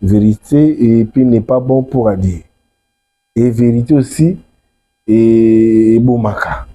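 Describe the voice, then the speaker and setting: Congolese man explaining his faith in an interview, really dark muffled tone, bit husky, repeats himself. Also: medium pitch, slow. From Democratic Republic of the Congo. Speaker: religious messenger, interview guest. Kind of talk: explanatory interview talk, mixing French and Lingala.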